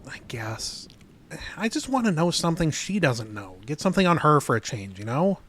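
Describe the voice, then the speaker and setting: An actor playing an anxious, hesitant man who is being stalked, in a naturalistic audio drama, speaking haltingly and uneasily. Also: a little high, big pitch swings, fairly bright tone, slight background noise. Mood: uneasy, resigned.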